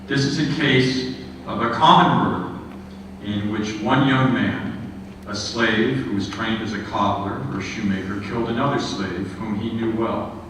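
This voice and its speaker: A man giving a history lecture in a measured, earnest way, with frequent filler sounds. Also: on the low side, fairly bright tone. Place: lecture hall. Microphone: room microphone. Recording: echoey hall, distant mic, background noise.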